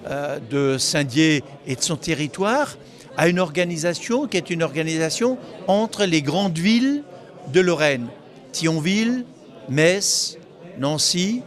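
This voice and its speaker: A man giving explanatory interview answers as a local official, often halting and repeating words mid-phrase. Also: a little high.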